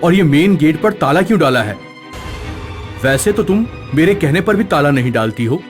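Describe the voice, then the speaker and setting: Emphatic cartoon husband, highish male voice, acted. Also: expressive, moderate pace, faint music behind, close to an everyday voice. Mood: scolding. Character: village husband, scolding and anxious young married man. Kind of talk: animated story dialogue.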